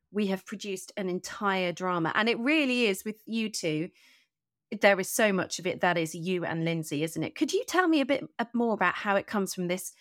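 A woman's voice: mid-range voice, fairly bright tone, no echo, clean studio sound. A woman hosting a podcast, speaking in an effusive, enthusiastic way as she introduces and interviews. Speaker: podcast host.

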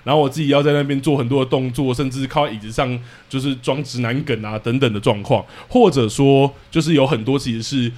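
A man's voice: mid-range voice, brisk, few pauses, darkish tone. A man with a Taiwanese Mandarin accent hosting a casual podcast interview, with a joking, self-deprecating manner. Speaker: podcast host.

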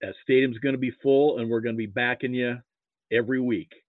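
A middle-aged American man with a Midwestern accent, chatting casually as an interview guest in a halting, hesitant way. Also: mid-range voice, steady tone, no echo. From rural Nebraska, United States. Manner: halting, hesitant delivery with repeated "you know". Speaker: guest, sports fan and archivist. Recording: muffled, narrow phone-like line.

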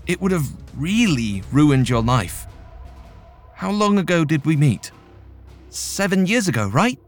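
A man's voice: big pitch swings, unhurried, faint music behind. A man voicing a pompous young male entrepreneur who pleads in a raised, strained voice. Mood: pleading. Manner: pleading, high-pitched.

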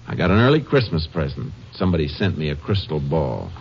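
An actor playing a stern, shrewd Texas Ranger who also narrates, clipped and dry, with an American accent in an old-time radio detective drama. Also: male voice, rumbling low, very animated, fairly quick, vocal fry, slight background noise, full character voice. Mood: stern, pressing. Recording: muffled old radio recording with music.

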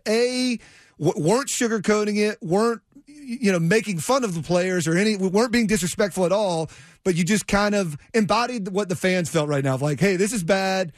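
A man's voice: high voice, no echo, clean studio sound. A man hosting a sports talk radio show, talking in a conversational, effusive, rambling way. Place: radio studio.

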